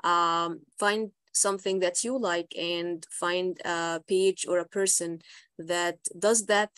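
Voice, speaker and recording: A woman's voice: lowish voice, no echo. Young Egyptian-accented woman, English teacher, encouraging, dry close mic. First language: Arabic. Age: young adult. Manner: encouraging, advice-giving. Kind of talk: video call conversation.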